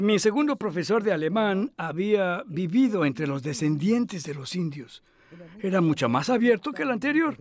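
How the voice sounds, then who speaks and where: A male actor dubbing a cultured older scholar who speaks reflectively and hesitantly in a conversational interview. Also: high voice. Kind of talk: voice-over dub of a conversational interview.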